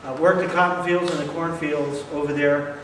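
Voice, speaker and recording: A man hosting an onstage interview, speaking earnestly and haltingly with many fillers. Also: fairly high pitch, flat delivery, a little hiss or hum. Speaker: interviewer, event host. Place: large hall. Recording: echoey hall from far off.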